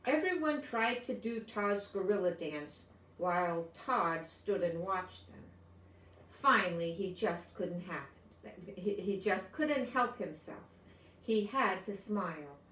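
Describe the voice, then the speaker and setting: A woman's voice: mid-range voice, lively intonation, slow pace, fairly bright tone, a little hiss or hum. An older woman reads a picture book to young listeners. She takes her time and explains things simply. The recording is roomy, with her voice some distance from the microphone. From United States. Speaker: storybook reader. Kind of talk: read-aloud children's story with asides.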